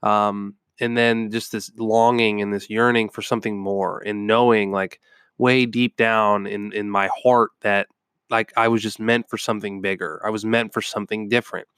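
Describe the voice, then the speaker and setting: A man's voice: on the low side, flat delivery, normal speed, a bit bright, smooth, no room sound, clean studio sound. A young adult man with a stuffed-up cold, hosting a podcast and telling a personal story in a rambling way with many ums. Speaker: podcast host. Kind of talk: casual personal storytelling monologue.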